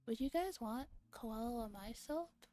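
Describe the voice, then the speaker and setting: A woman streamer chatting casually in a relaxed ASMR livestream, with playful, giggly banter between bits of reading a visual novel aloud. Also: on the high side, slow. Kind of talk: casual livestream chat mixed with game read-aloud, ASMR.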